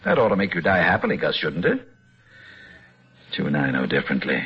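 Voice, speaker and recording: An actor playing a sadistic, pompous chess-obsessed villain in an old-time radio drama, with mocking, precise, theatrical diction. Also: male voice, extremely low pitch, huge pitch swings, a little fast, slightly rough, heavily acted. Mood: mocking, gloating. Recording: muffled old radio recording with music.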